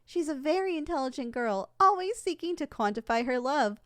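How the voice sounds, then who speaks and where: A woman's voice: sits high, expressive, fairly quick, a bit bright, no room sound, studio quality. A woman playing a polite, unflappable shop tour saleswoman in a scripted ASMR roleplay, formal and matter-of-fact. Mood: polite, businesslike. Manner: formal, courteous, matter-of-fact about danger. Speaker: voice actor in audio roleplay.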